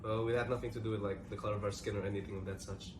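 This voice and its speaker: A young Indonesian man talks quickly and casually in a panel chat, dropping in little fillers as he goes. He sounds set back from the mic in an echoing room, with clear background noise around him. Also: fairly low pitch, little pitch movement. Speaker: co-host. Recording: roomy echo, distant mic, audible room noise.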